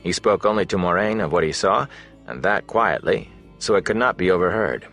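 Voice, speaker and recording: A man narrating a fantasy novel as an audiobook, in a measured, expressive storytelling manner. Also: low-pitched, faint music behind.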